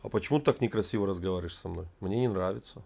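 Calm, needling man on a webcam. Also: deep voice, racing, vocal fry, crowd noise. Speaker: video chat host. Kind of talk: quizzing strangers on video chat. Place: room, seated in an armchair.

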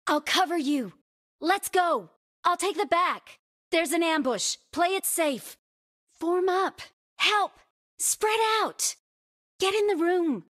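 A woman's voice: very high-pitched, animated, slow pace, a bit bright, exaggerated voice. A woman voicing a female battle-royale squadmate in a shooter game, giving short, clipped tactical callouts. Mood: urgent, commanding. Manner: clipped, isolated command phrases. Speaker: game voice-pack actor.

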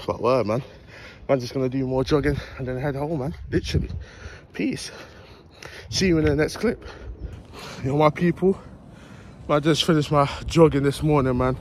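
A young man with a London accent chatting in slang to his phone camera while out jogging, with lively asides. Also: mid-range voice, slight background noise. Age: young adult. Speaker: vlogger. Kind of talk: casual vlog talk to camera. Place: outdoors in a park and streets at dawn, also a bedroom. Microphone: phone held at arm's length.

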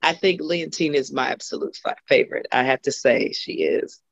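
A middle-aged woman from Los Angeles with a husky voice, talking as a guest singer on a video call. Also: a bass voice, big pitch swings, normal speed. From Los Angeles, USA. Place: home room indoors. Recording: dull lo-fi video call audio.

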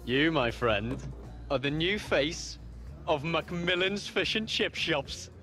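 Highish, breezy young wheeler-dealer. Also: male voice, expressive, slow pace, bright, forceful tone, faint music behind, full character voice. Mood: proud. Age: young adult. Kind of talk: naturalistic video game cutscene dialogue.

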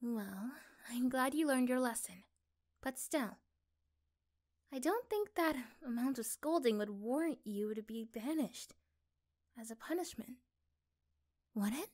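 A woman playing a caring, curious young girlfriend in a soft-spoken, tender ASMR roleplay, breathy at times. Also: on the high side, expressive, a little slow, pauses often. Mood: surprised, concerned.

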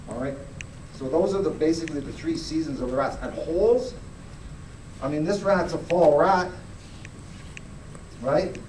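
A man's voice: on the high side, a little mellow, a little hiss or hum. A middle-aged man giving a plain-spoken live grading demonstration to an audience in a large indoor hall, often asking them to look closer. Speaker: fur grader. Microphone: far camera. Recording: echoey hall, camera from far off.

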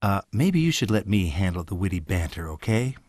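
An actor playing a cocky, wisecracking monster-fighting hero in a video game, with a deadpan, sardonic delivery. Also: male voice, low-pitched, brisk, no room sound, studio quality. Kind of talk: video game cutscene acting.

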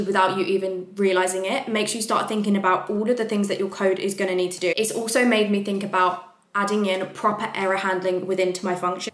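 A woman's voice: medium pitch, echoey room. A young woman vlogger who talks to camera from her home desk and explains how she plans coding projects step by step, in a practical, casual way. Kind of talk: casual tutorial talk to camera.

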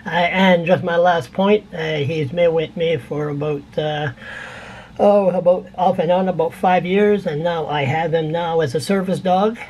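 A man's voice: a little high, fairly even intonation, a little slow, a little mellow, muffled recording, slight background noise. Rambling older man, casual caller. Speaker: radio phone-in caller. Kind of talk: casual chat. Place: indoor room at home.

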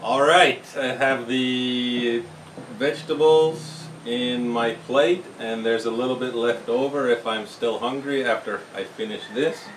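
A middle-aged man hosting a home cooking channel, talking to the camera in a slow, gentle way with fillers and restarts, in a small brick-walled kitchen. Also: medium pitch. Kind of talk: casual talk to camera. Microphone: camera mic from across the room. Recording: roomy, distant camera sound with faint hiss.

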